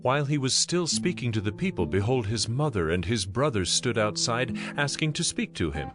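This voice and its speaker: A man narrating a dramatized scripture reading in a measured, solemn way. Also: mid-range voice, sing-song, music in the background.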